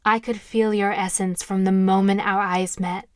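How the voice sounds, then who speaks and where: A woman playing a friendly, reassuring college-age witch in an audio drama, with a casual, soft-hearted manner. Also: mid-range voice, level tone, normal speed, dry recording, studio quality, close to an everyday voice. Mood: tender coaxing.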